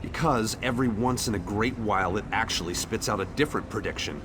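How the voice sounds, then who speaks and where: Man playing a low-voiced, bitter young skeptic. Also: fast talker, noisy background, lightly acted. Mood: sardonic. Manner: blunt, scornful. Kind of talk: AI-voiced fantasy game dialogue.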